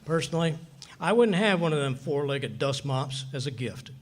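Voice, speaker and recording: A man's voice: a little high, moderate pace, close to an everyday voice. A man playing a bumbling, boastful middle-aged husband who spins folksy tall tales in 1940s radio comedy style. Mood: boastful storytelling. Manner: folksy, blustering, excitable tall-tale telling. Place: live staged radio play at a hotel venue.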